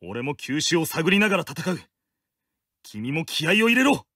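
An actor playing a fervent, honourable young swordsman in an anime-style game, booming and declaiming with resolve. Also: very animated, normal speed. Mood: fervent, resolute. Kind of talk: anime-style mobile game character lines.

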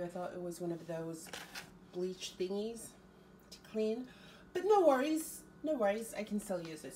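Slow, chatty woman filmed from afar. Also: fairly low pitch, dark tone, distant mic, slight background noise. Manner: chatty, rambling, with frequent ums and restarts. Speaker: fitness vlogger. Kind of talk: casual grocery haul chat. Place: home kitchen, indoors.

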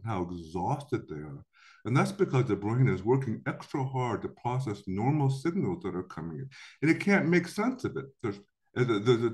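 A middle-aged man from Korea giving a recorded slide lecture over a webcam, explaining with lively delivery and acted-out quotes. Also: medium pitch.

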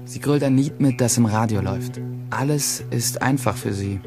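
A man acting a stressed, secretive teenage schoolboy narrating his own life in a radio play, with a husky, gravelly voice. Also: medium pitch, moderate pace, natural voice. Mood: weary, resigned. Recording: dry studio, faint music behind.

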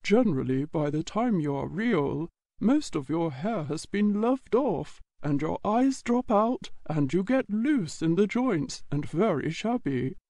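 A woman voicing a kindly, truthful old male toy horse in a storybook, explaining gently and patiently. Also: fairly low pitch, sing-song, unhurried, few pauses, no echo, voice pushed down, full character voice. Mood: gentle, wise. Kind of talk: storybook audiobook character reading.

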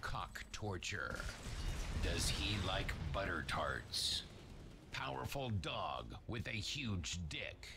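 Gravelly man shouting out swinging one-liners. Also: sits low, very animated, slow, noisy background. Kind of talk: short crude one-liner voice pack callouts over gameplay.

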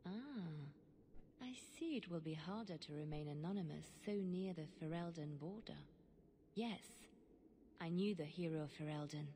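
A composed female agent of a fantasy church played by an actress speaks. Her voice is fairly low, solemn and earnest, as game dialogue with faint noise behind it. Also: a little slow, warm tone, long reverb tail, lightly acted. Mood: guarded, wistful. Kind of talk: fantasy video game voice acting.

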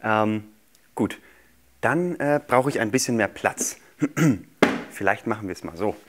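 A man's voice: lowish voice, expressive, slow pace, background noise. A man in his thirties speaking casually and playfully as a magician showing tricks to children. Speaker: magician, show guest. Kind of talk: casual demonstration patter for children. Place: small furnished TV studio set, indoors.

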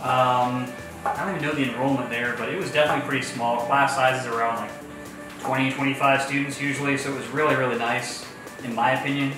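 A man in his early thirties chatting casually while cooking at home, laid-back and low-key. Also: fairly even intonation, fast. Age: early 30s. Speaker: co-host, husband. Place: large home kitchen, indoors. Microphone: far camera mic. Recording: echoey kitchen from far off, background music.

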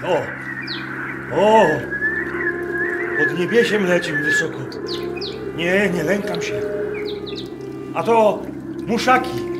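An actor playing a simple, hard-drinking Masurian woodcutter who sees visions, in rustic, halting dialect for a radio play. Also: male voice, fairly high pitch. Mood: excited wonder. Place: wooden barn, staged with musicians. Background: music.

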